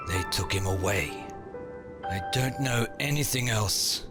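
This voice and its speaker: Casting: male actor for broken, pleading undertaker, fairly low pitch, dragging halting confession, dramatic comic dub. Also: close to an everyday voice. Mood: defeated, fearful. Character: frightened, pleading male mortician, loyal and resigned. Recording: loud music over the voice.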